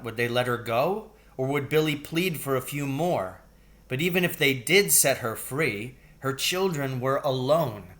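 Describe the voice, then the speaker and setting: A middle-aged man reads a children's novel aloud. His voice sits at an average male pitch. He delivers it as storybook narration for young listeners. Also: a bit bright. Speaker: storybook reader, teacher. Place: indoors, large living room.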